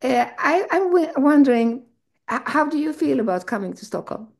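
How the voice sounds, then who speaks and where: Gravelly-voiced woman, enthusiastic interviewer. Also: high voice, sing-song, moderate pace. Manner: enthusiastic, effusive. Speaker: festival host interviewer. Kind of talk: casual interview.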